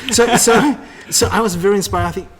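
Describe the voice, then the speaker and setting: A man with a Norwegian accent telling stories conversationally as an interview guest, often halting and restarting his words. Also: high voice, crowd noise. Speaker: public speaker and seminar leader, interview guest.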